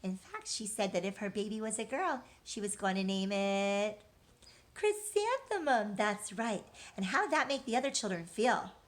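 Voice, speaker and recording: A woman's voice: medium pitch, animated, normal speed. A woman leading an interactive read-aloud and lesson for young children, asking questions and answering them in an encouraging, reassuring way.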